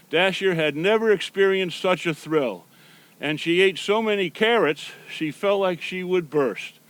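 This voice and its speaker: High-pitched man reading a children's storybook. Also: fairly bright tone, clear, resonant tone, no echo. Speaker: storybook reader appearing as Santa Claus. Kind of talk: children's storybook read-aloud.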